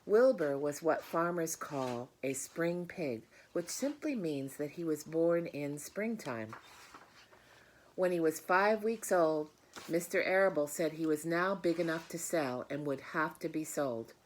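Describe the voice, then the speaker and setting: A woman's voice: deep voice, animated, a little slow, slight background noise. A woman reading a children's book aloud, speaking directly to her listeners with explanatory asides. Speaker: storybook reader.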